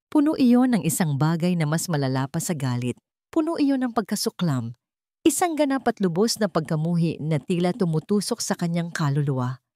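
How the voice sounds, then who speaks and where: Low-pitched Filipino woman narrating drama. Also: darkish tone, dry recording, studio quality. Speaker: story narrator. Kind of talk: dramatic scripted narration of a love story.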